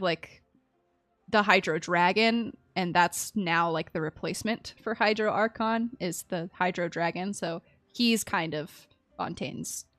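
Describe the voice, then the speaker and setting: A woman's voice: fairly low pitch, a bit bright, no room sound. A woman live-streaming a game, giving casual, chatty and giggly commentary to her viewers.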